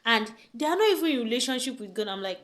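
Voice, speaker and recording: A woman's voice: a little high, big pitch swings, quick pace, fairly bright tone. Chatty woman vlogger, emphatic. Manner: chatty, emphatic, many rhetorical questions and "right?" tags. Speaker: vlogger giving relationship advice. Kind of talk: casual talk to camera.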